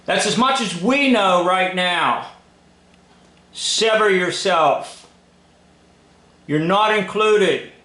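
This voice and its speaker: A man's voice: sits high, drawn-out words, long pauses, pushed and bright. A man giving informal, sceptical commentary on an old film, instructing in short emphatic phrases. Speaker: video commentator. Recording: echoey room.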